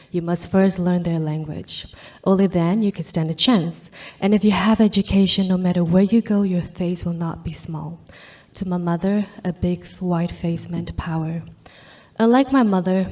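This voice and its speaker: A woman, a native speaker of Karen, reads her memoir aloud in English. Her voice sits low and sounds mellow and warm. She reads earnestly and makes small grammar slips. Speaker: student reader. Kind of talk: reading a personal memoir essay.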